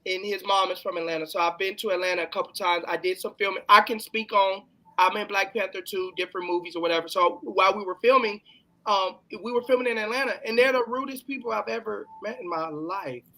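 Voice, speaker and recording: A man with a Texas accent hosts a casual live show. His voice is bright and forward, and he chats in a slangy, bantering way. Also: high-pitched, quick pace, rough recording. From California, long settled in Texas. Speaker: talk show host. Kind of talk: casual live-stream interview chat.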